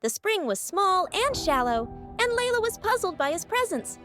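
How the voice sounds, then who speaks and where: A woman's voice: extremely high pitch, big pitch swings, normal speed, a bit bright, soft background music. A woman narrating a scripted fairy tale as a storyteller.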